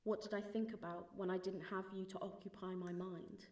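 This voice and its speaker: A woman performs spoken-word poetry at a middle pitch. Her delivery is very level, with little rise or fall. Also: level tone, brisk, dark tone. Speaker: poetry reader.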